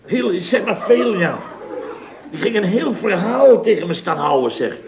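A man's voice: high-pitched, expressive, a little slow, creaky, heavily acted. An actor playing a flustered, indignant, chatty man in a radio comedy, rambling and repeating himself as he tells his story. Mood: bewildered. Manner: rambling, repetitive storytelling with stammers and rhetorical tags. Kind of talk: radio comedy acting in a dialogue sketch. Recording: radio recording with audience behind.

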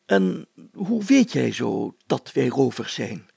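A man's voice: medium pitch, big pitch swings, gravelly, dark tone, breathy edge, no room sound, pitched above the performer's own voice, exaggerated voice. A man voicing a pompous, fatherly and easily flustered village mayor in a storybook, stammering and drawing out his phrases. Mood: indignant. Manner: stammering, deliberate, drawn-out phrasing.

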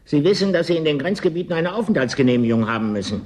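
An actor in a radio drama playing an evasive, brooding official in a frozen border town. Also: male voice, on the high side, expressive, rapid-fire, darkish tone. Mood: officious.

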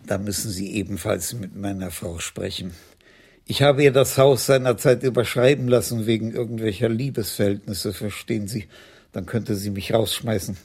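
A man's voice: lowish voice, steady tone, moderate pace, a little mellow, slightly rough. A male actor in a radio crime drama playing an unflappable, impish police commissaris in his sixties with a dry, teasing manner. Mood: dry, evasive. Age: 60s.

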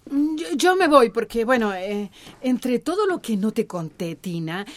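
An actress playing a flustered village woman in a radio drama, halting and hesitant as if hiding her feelings. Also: on the high side. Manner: halting, stammering.

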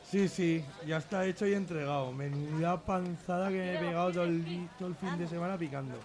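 A man's voice: high-pitched, brisk, lightly acted. A man playing an enthusiastic, cheeky sculptor in a comic radio serial, sweary and colloquial, with a Spanish accent from Spain and crowd noise behind. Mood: smug.

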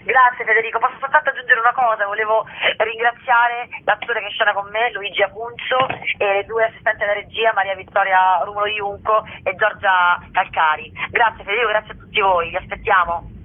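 A woman's voice: mid-range voice, steady tone, slight background noise. A woman, a theatre director and actress, calling in to a radio interview and rattling off dates, prices and thanks. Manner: brisk, lists dates and thanks in a rush. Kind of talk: phone-in interview. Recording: tinny phone line.

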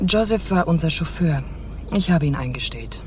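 A mysterious society woman, seductive and wistful, with a dark, mellow voice. She speaks softly and intimately in noir radio drama style, over a faintly noisy recording. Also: sits low, lively intonation, moderate pace. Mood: wistful, confiding. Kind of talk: German radio play acting, noir.

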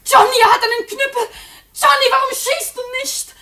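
An actress playing an anxious, devoted young wife in a German radio play, soothing and questioning. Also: female voice, extremely high pitch, steady tone, moderate pace, pushed and bright. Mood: alarmed.

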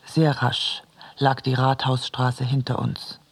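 Husky, creaky-voiced man reading. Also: medium pitch, monotone, takes it slowly. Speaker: reader in a radio drama. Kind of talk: literary narration, radio play.

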